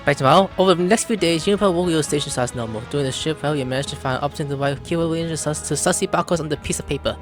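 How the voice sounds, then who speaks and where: A woman's voice: low-pitched, very fast, few pauses. A woman doing playful game commentary and reading the dialogue aloud as she plays. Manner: playful, bursts into loud put-on voices. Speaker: game streamer. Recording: music behind the voice.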